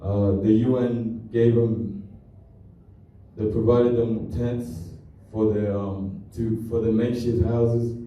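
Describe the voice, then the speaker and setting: A young man born in Kenya and raised in Australia tells his family's refugee story as a halting, plainspoken presentation. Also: lowish voice, little pitch movement, highs cut off. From born in Kenya to South Sudanese parents, raised in Australia. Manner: halting, restarts often, plainspoken. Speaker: student presenter. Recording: very echoey hall from far off.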